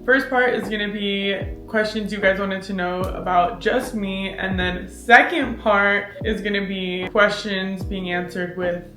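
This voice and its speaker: A woman's voice: mid-range voice, steady tone, measured pace, few pauses, a bit bright. A woman in her twenties whose first language is Farsi, chatting casually to camera in a Q&A vlog, candid, laughing often and swearing freely. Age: 20s. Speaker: vlogger. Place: small apartment living room, indoors. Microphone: camera in front, seated framing. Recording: echoey room with music behind.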